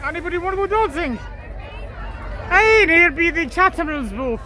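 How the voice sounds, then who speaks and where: A woman's voice: very high voice, lively intonation, normal speed, pushed and bright. A woman vlogger walking through an outdoor convention promenade, giving casual commentary in a put-on pirate manner with growled "arr" interjections. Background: faint crowd chatter.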